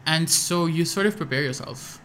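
A man's voice: fairly high pitch, moderate pace, pushed and bright, slight background noise. A young man from Pakistan speaks in a casual podcast chat. His American accent comes through as he circles his thoughts with fillers and false starts. A faint room ambience sits behind him. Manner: hesitant, restarts often, many fillers. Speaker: guest musician.

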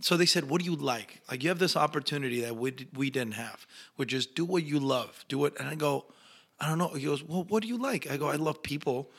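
A young man with an Argentine accent, a Spanish-speaking actor telling stories in a casual interview, animated and acting out the people he quotes. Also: a little high, a little fast, husky. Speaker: actor guest.

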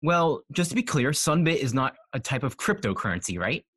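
A man's voice: mid-range voice, sing-song, a little fast, fairly bright tone, slightly rough, no room sound, studio quality. A man who works as an optometrist, co-hosting an interview and asking short clarifying questions. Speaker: optometrist co-host. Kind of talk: industry interview.

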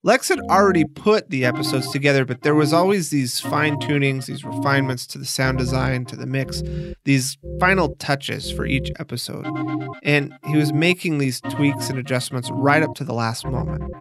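A man's voice: mid-range voice, normal speed, over music. A man hosting a podcast, reading scripted documentary narration in an instructional way with emphatic signposting. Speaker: podcast host.

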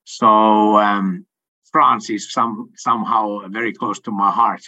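Bright-voiced Finnish-born man, hesitant. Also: lowish voice, fairly even intonation, slow pace, lo-fi sound. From Finland. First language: Swedish. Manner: hesitant, many fillers, laughs often. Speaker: sales coach and company owner. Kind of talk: casual interview answers.

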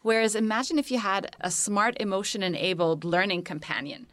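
A woman's voice: medium pitch, steady tone, a little fast, fairly bright tone, no echo. A woman from Egypt talking as a guest in a panel conversation, articulate and enthusiastic, explaining her ideas with many examples. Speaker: tech company founder, guest.

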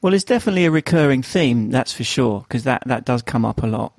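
A man's voice: medium pitch, animated, fast talker, dry recording. A man hosting an interview podcast, asking questions in a rambling, casual way with many restarts. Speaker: podcast host.

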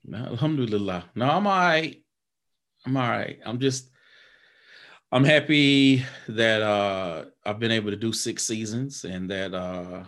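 A man's voice: lowish voice, a bit bright. A man hosting a podcast interview, talking loosely with lots of fillers and restarts, then rallying listeners in an upbeat sign-off. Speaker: podcast host. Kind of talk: casual interview chat and show outro.

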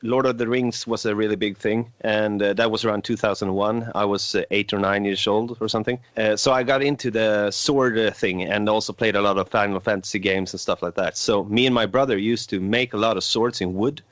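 A man's voice: on the low side, steady tone, a little fast, few pauses, a bit bright, dry recording. A Swedish man in his twenties, a native Swedish speaker, hosting a casual podcast chat in a hesitant way with many filler sounds. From Sweden. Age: 20s. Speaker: podcast host.

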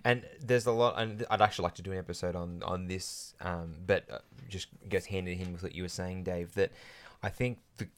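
An Australian man hosting a faith podcast, talking in an earnest, measured way. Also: low-pitched, steady tone. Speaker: podcast host. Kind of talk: casual chat and podcast outro. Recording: music bed under the voice. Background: music.

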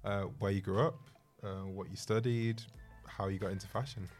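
Very low-voiced woman podcast host. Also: steady tone, normal speed, darkish tone, soft background music. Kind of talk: scripted introduction, then conversational interview.

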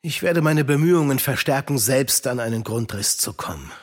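A man's voice: medium pitch, expressive, normal speed, lightly acted. A man acting a kindly, devout uncle in a German children's radio play, earnest and shaken. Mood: earnest, steadfast.

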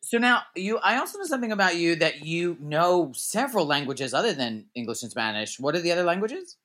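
Bright-voiced chatty male host. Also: a little high, sing-song, fairly quick. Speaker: interview host. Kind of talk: casual interview chat.

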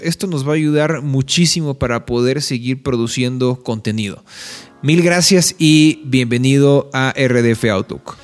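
A man hosting a podcast, introducing the show and asking his guest about his career. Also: fairly high pitch. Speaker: podcast host. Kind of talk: interview hosting.

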